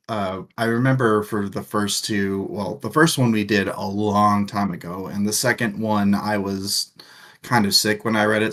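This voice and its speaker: Low, slow-talking young man, laughing. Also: a bit bright. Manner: rambling, laughs often, crude jokes. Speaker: book discussion co-host. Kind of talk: casual chat.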